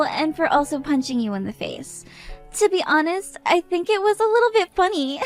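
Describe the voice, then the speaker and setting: A woman's voice: high-pitched, voice pushed up. A woman voicing a drunk, mocking young woman who teases in a sing-song voice and keeps breaking into cackling laughter.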